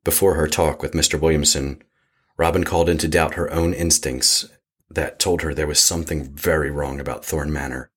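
A man narrating a scripted horror audio drama as an ominous storyteller with a husky voice. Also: steady tone, normal speed, fairly bright tone, full character voice. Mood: foreboding. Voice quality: husky, very deep.

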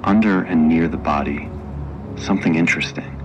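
Very deep male screenplay narrator. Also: moderate pace, lo-fi sound, over music. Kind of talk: scripted screenplay narration for audio drama.

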